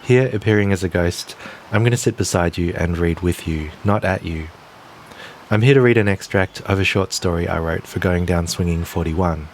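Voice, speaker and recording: A man reading his own short story aloud in a measured, quiet literary style. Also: low voice, slight background noise.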